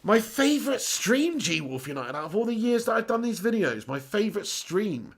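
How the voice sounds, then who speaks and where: A man hosting a live stream, reading out viewer comments excitably, laughing often and swearing casually. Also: high voice, moderate pace. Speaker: host.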